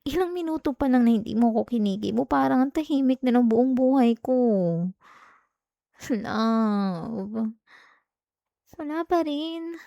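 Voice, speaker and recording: Clingy, jealous girlfriend played by a woman, soft and mellow. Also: high-pitched, lively intonation, measured pace, creaky, pauses often, no echo, studio quality, exaggerated voice. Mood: pouting, hurt. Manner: coaxing, whiny, with sighs and hesitations. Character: clingy, jealous young girlfriend, pleading and pouting. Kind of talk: intimate ASMR girlfriend roleplay. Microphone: close, dry microphone.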